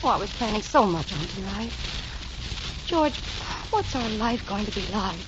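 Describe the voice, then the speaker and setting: Actress as a pleading young socialite, noisy old radio. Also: female voice, mid-range voice, expressive, moderate pace, close to an everyday voice. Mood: pleading, frustrated. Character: pleading young socialite fiancee, romantic and discontented. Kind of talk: 1940s radio drama acting. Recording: dull, noisy 1940s radio recording.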